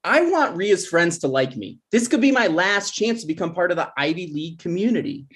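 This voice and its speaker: A man's voice: high voice, sing-song, brisk, a bit bright. Man playing sensitive, jokey young boyfriend. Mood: awkward, defensive. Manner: casual, self-deprecating. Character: Ryan, sensitive, jokey twenty-six-year-old boyfriend. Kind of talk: screenplay table read.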